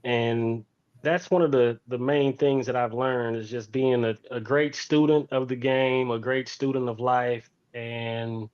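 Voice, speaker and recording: A man tells his story in an interview. He takes his time, speaking slowly at a middling pitch and circling back on phrases to stress them. The audio is a little muffled and lo-fi. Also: a little mellow. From Fort Worth, Texas, United States. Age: 40s. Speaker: interview guest, teacher.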